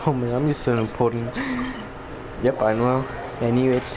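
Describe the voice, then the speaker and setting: A man's voice: mid-range voice, little pitch movement, moderate pace. Man casually commenting, muffled lo-fi sound. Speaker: gamer. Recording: muffled, noisy home recording.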